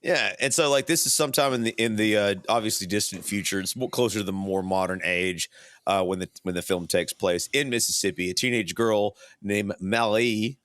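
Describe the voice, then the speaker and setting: Chill guy in his 30s, lowish voice, casual podcast talk, dry close sound. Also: fairly quick, no echo. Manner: relaxed, says dude a lot. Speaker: podcast co-host. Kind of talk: casual chat.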